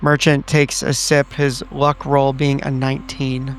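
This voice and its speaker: A man's voice: mid-range voice, completely flat delivery, measured pace. A man playing a tabletop roleplaying game, chatting casually and narrating what his character does. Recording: faint music behind the voice. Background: faint music.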